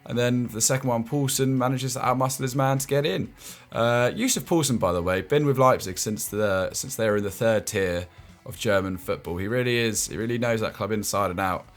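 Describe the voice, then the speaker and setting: Chatty man stammering through football analysis. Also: fairly low pitch. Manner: stammering restarts and chuckling asides. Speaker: football show host. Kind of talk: casual football analysis chat.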